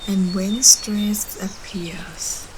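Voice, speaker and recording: Woman doing guided meditation, super slow and gentle, soft breathy phrase endings, steady ambient hiss behind her. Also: medium pitch, poor audio. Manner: gentle, soothing, unhurried with long pauses. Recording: clearly audible steady background ambience noise.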